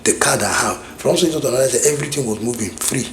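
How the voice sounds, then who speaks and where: A man's voice: mid-range voice, very fast, a bit bright, echoey room, slight background noise. A middle-aged man from Nigeria with a Nigerian English accent, reminiscing in casual talk, rambling with many restarts and repeated phrases. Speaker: vlogger.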